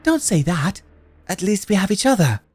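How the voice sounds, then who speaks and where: A man voicing a gentle, hopeful young woman in an audio story, speaking softly and comfortingly. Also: high voice, very animated, moderate pace, husky, pitched above the performer's own voice, exaggerated voice. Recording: music playing under voice.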